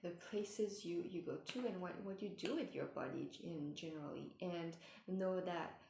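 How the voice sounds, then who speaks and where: A woman giving gentle spiritual advice in a meandering way, with many fillers and restarts, and she is losing her voice. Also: fairly low pitch, normal speed, vocal fry, roomy sound, background noise. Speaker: spiritual mentor. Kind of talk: casual advice talk.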